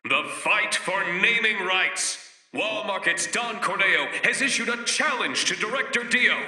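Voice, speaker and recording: A man playing an excitable, grandiose amusement park announcer in a video game, with a hyped-up ringside delivery. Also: high voice, a little slow, roomy sound, distant mic, exaggerated voice. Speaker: event announcer.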